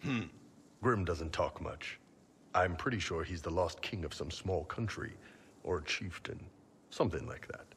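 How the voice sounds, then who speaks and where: A hulking horned mercenary boss for a fantasy game. His voice is very deep, and his delivery is laid-back, wry and amused over a faint rumble. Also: a little slow, long pauses, fairly bright tone. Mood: amused, friendly. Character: big horned male mercenary captain, easygoing and wry. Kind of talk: video game dramatic acting.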